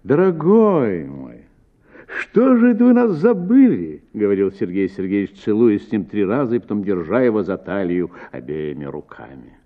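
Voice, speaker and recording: A man reading a literary story for radio as an actor, with theatrical, varied phrasing and deliberate pauses. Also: lowish voice. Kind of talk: expressive dramatic audiobook narration for radio. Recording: narrow-band, phone-like sound.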